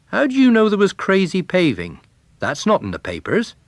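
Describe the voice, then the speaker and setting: A watchful police sergeant from an audiobook mystery acted by a male reader, plain-spoken and politely firm. The intonation is very animated and the sound is dry. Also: sits high, moderate pace, dry recording, pitched above the performer's own voice, exaggerated voice. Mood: suspicious, polite.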